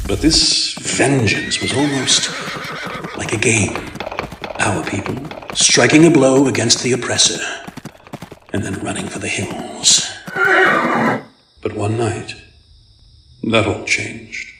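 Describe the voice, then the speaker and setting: A man's voice: fairly low pitch, echoey room, recorded from a distance, background noise. A man voicing a proud, brooding, vengeful supervillain who recounts his youth in grave, formal narration for a comic dub. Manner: grave, deliberate, formal, theatrical.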